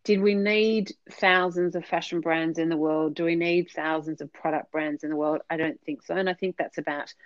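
A woman's voice: deep voice, steady tone, normal speed, few pauses, dry recording, lo-fi sound. Earnest woman explaining business ideas. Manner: earnest, explanatory, with frequent fillers. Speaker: podcast guest, business consultant. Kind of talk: conversational interview answers.

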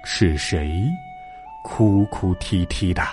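A man voicing a calm, commanding mountain god in a children's story, measured and watchful. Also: deep voice, pitched below the performer's own voice. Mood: questioning. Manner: measured, instructing. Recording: music behind the voice.

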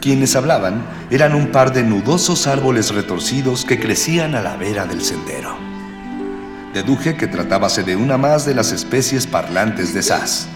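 An echoing, reverberant voice of a mock-heroic storyteller, played by a man, narrates a radio serial in florid, solemn phrases. His mid-pitched delivery rides over music. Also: expressive, normal speed, close to an everyday voice. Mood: explanatory. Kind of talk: scripted radio drama narration. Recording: echoey reverberant sound with music underneath.

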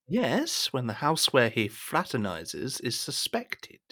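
A suspicious, scheming cardinal and statesman with a mid-pitched voice. He phrases things in a courtly, insinuating way with very varied intonation, in a period audio drama. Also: huge pitch swings, slow pace, fairly bright tone, full character voice. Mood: probing.

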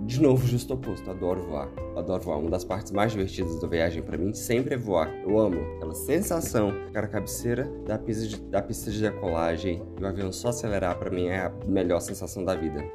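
Brazilian male podcast host, low hoarse voice, casual and playful, guitar music behind. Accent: Brazilian Portuguese. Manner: chatty and upbeat, with playful asides. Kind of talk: casual solo podcast monologue.

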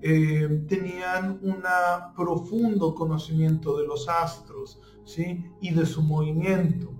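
A man giving an informal spiritual talk, pausing often with fillers and checking in with listeners after nearly every phrase. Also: fairly high pitch, steady tone, a little mellow. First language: not Spanish (joking). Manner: halting, many fillers, stumbles over names. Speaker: spiritual teacher. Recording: echoey room with faint music.

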